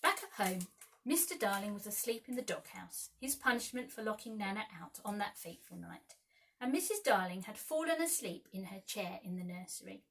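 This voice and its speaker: A woman storyteller reads to children in a bright, forceful voice. Her narration is expressive and dramatic, with carefully measured phrases and an ordinary middle pitch. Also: creaky, breathy edge. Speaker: storyteller, school staff reader.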